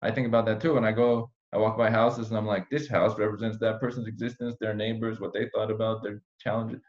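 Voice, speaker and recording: A man's voice: on the low side, level tone, quick pace. A man hosting a casual conversational podcast, rambling with playful, mock-serious asides. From Iran. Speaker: podcast host. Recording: dull, lo-fi podcast audio.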